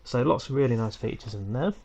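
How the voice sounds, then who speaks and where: A man with a head cold walking viewers through a screen-recorded tutorial at home in a relaxed, rambling way, with frequent ums and small self-corrections. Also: mid-range voice, fast, lo-fi sound. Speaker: tutorial host. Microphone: computer mic for a screen recording.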